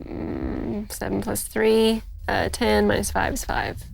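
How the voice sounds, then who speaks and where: A woman's voice: mid-range voice, wildly swooping pitch, slow pace, gravelly, dry recording. A woman playing a tabletop roleplaying game, talking in casual, playful table chat.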